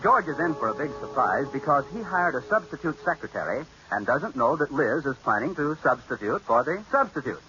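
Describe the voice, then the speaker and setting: A man's voice: on the high side, very animated, brisk, hardly any pauses. A man narrating a 1940s radio comedy as announcer, in an amused, conspiratorial tone. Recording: lo-fi old radio recording with faint music.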